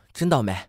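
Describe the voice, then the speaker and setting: A man's voice: on the high side, husky, no echo, clean studio sound, heavily acted. A man playing a cheeky, boastful young man with a tender side, in an amateur audio drama. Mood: grumbling, exasperated. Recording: music under the voice.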